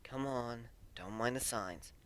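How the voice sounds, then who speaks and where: A man's voice: medium pitch, takes it slowly, a bit bright, clear, resonant tone, no echo. A man voicing an earnest, self-conscious and easily bewildered male pegasus pony in a dramatic story reading. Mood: reassuring, awkward.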